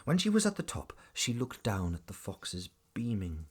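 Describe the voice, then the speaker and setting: A man's voice: on the low side, huge pitch swings, normal speed, slightly rough, exaggerated voice. A man narrating a family audio drama as a scripted storybook tale, dramatic and whimsical.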